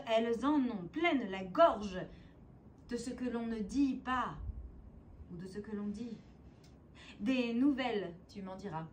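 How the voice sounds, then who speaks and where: A woman performing a spoken-word poem in a theatrical, declamatory way, with deliberate phrasing, dramatic pauses and emphasis. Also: on the high side, slight background noise. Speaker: poet and stage performer.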